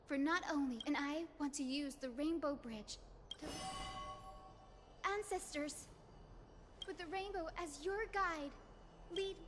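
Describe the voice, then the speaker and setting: An earnest young sky priestess for a game, high n gentle, big echoey reverb. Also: female voice, a little slow, long pauses. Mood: reverent. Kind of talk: dramatic video game voice acting. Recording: echoey long reverb, loud game music behind.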